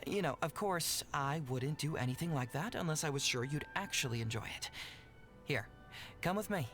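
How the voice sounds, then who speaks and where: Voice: mid-range voice, animated, normal speed, fairly bright tone, soft background music, close to an everyday voice. An actor voicing clever young boy detective. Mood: playful, warm. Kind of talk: scripted video game character dialogue.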